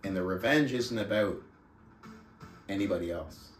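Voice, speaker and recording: A man who speaks as a motivational book club host, reading aloud and coaching in an emphatic, deliberate, rhetorical manner. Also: sits low, soft background music. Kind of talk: audiobook-style reading aloud and motivational talk. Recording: roomy, echoing sound.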